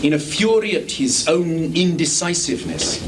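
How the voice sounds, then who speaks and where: A man's voice: a little high, expressive, moderate pace. Male stage narrator, noisy live recording. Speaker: actor narrating between scenes. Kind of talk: scripted dramatic narration. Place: small theatre stage in Tokyo.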